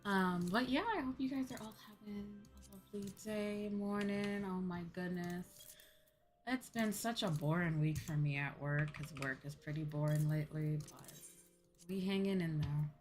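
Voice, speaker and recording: Low-pitched woman streamer, casual and rambling. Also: moderate pace, faint music behind. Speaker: livestreamer and host. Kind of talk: casual game livestream chat to viewers.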